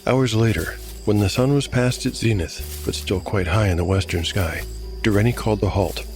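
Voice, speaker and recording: Male narrator, game master, low pitch, measured storytelling, scripted fantasy narration, faint music behind. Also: darkish tone.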